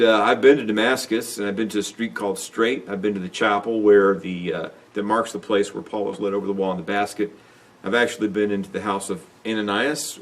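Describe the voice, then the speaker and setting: Man, very deep voice, rambling lecture, many fillers, a bit distant from the mic. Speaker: Bible teacher. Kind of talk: unscripted lecture.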